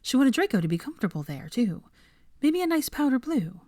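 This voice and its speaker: A woman's voice: high-pitched, very animated, a little fast, warm tone, no echo, clean studio sound. A woman narrating a story as an audiobook reader, from a script.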